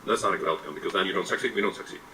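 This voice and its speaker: A man answers interview questions quickly in a deep yet bright voice. He sounds hesitant and reassuring and leans on fillers. He is picked up from a distance, with faint room ambience behind him. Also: a little hiss or hum. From Czechoslovakia. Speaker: tech executive interviewee.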